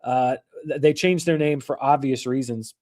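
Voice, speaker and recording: A hoarse man hosting a casual live-stream about movie news, rambling and correcting himself as he goes. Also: medium pitch, normal speed, darkish tone. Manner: rambling, self-correcting, chatty. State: hoarse from allergies.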